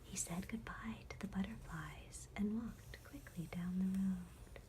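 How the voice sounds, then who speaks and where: A woman reading a bedtime story in a soothing, unhurried ASMR whisper. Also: mid-range voice, slight background noise. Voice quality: soft whisper.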